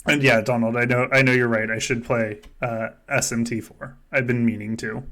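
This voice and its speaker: A man's voice: medium pitch, level tone, moderate pace, fairly bright tone. A man hosting a podcast game in casual, joking chat, swearing freely as he goes. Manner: sweary, jokey. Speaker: podcast host running a fan survivor game.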